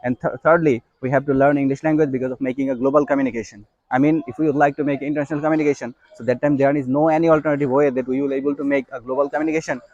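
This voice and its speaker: A man's voice: mid-range voice, steady tone, racing, hardly any pauses, a little mellow, dry recording, highs cut off. A young man with a Bangladeshi accent and Bengali as his first language, giving an instructional talk to learners, repeating and stressing his points. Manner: repetitive, emphatic explaining. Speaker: English teacher.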